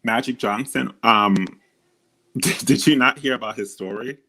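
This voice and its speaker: A man's voice: medium pitch, a little slow, sharp tone, rough recording. A man with a feminine-sounding voice hosting a heated live debate, often cutting in and repeating himself to take the floor. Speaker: host.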